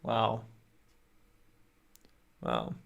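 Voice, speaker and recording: A man's voice: drawn-out words, fairly bright tone. A young man hosting a livestream at home, reading viewer stories aloud into a close studio microphone with frequent restarts. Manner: reads aloud with repeated restarts and reactions. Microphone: studio mic on boom near face.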